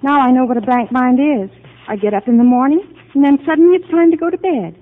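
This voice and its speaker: An actress playing a confused, fragile married psychiatric patient, hesitant and bewildered, in 1950 radio drama style. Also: female voice, high voice, quick pace. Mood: childlike, anxious. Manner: hesitant, bewildered, childlike questioning. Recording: muffled narrow-band 1950 radio broadcast.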